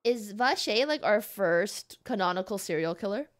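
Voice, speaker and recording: A woman's voice: mid-range voice, lively intonation, normal speed. A woman doing casual, informal commentary as she livestreams a video game. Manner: informal, conversational. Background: game music.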